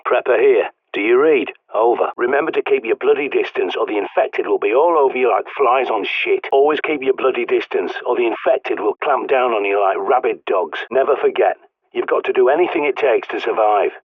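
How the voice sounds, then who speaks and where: An actor playing a blunt, sweary, paranoid survivalist mentor in a video game, with an English accent, heard through a radio filter. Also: male voice, mid-range voice, no echo. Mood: stern, blunt. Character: gruff paranoid doomsday survivalist man, bossy mentor. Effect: radio transmission filter. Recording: muffled narrow-band radio sound.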